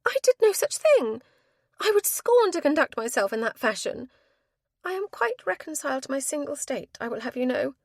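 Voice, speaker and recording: A woman voicing a prim, nervous spinster who speaks in a formal, genteel and high-strung way. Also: lively intonation, brisk, gravelly, a little mellow, dry recording, clean studio sound. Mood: indignant, shrill.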